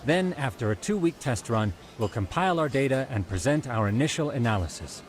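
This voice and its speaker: Man as an animated, precise private detective. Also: medium pitch, fairly quick, a little hiss or hum, natural voice. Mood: confident, explanatory. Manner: formal, precise, explanatory. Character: businesslike, eager male private detective pitching his plan. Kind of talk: video game cutscene acting.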